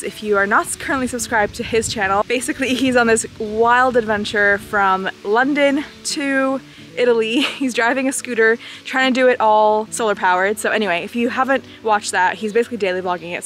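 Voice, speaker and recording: Upbeat young woman vlogging outside on her phone, kinda high voice, laughs a lot. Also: fairly quick, a bit bright. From Bulgaria. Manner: chatty, enthusiastic, laughs often. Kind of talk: casual travel vlog. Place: outdoors on a village road. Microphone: phone held by hand, then camera.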